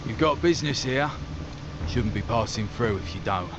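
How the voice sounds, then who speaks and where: A man's voice: mid-range voice, expressive, moderate pace, lo-fi sound, natural voice. A man playing a wary scout in a video game, colloquial and matter-of-fact. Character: wary, plain-spoken soldier scout. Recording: noisy outdoor ambience behind.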